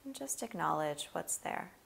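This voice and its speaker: A woman guides a body scan meditation in a fairly low voice. There is a clear vocal fry in her speech. She gives each gentle instruction without hurry and leaves long pauses between phrases. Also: expressive, a little hiss or hum.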